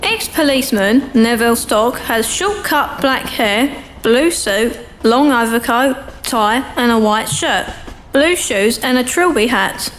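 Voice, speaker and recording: High-pitched woman narrating a radio play. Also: expressive, slow, a bit bright. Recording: faint background noise.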